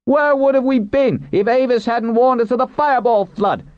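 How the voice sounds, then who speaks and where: A man voicing an angry male rabble-rouser in a storybook, loud and rough-spoken. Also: high-pitched, huge pitch swings, unhurried, voice pushed up, full character voice. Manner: loud, rough colloquial shouting. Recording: roomy, dull muffled recording.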